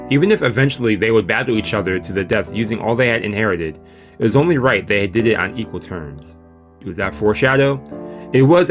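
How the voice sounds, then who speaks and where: Animated man reading a visual novel aloud. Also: on the low side. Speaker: let's play streamer. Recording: music playing behind the voice.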